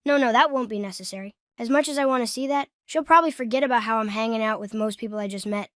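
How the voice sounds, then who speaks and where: A woman's voice: on the high side, quick pace, fairly bright tone, dry recording, clean studio sound, natural voice. A woman voicing a self-assured, friendly kid with an easygoing manner in a cartoon-style comic dub. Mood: amused. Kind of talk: comic dub character acting.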